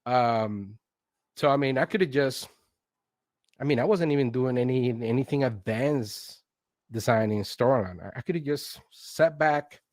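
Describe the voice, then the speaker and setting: A man's voice: medium pitch, a little slow, no room sound. Man telling his story, dry close sound. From Venezuela. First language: Spanish. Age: late 40s. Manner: animated self-quoting, often checks in with "right?". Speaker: interview guest, consultant in instructional design. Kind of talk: conversational personal storytelling.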